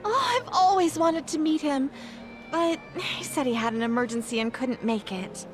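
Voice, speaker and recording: A woman's voice: high-pitched, normal speed, music in the background, natural voice. An actress playing a chatty, gushing woman at an event in a game dub. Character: chatty female event attendee, starstruck fan.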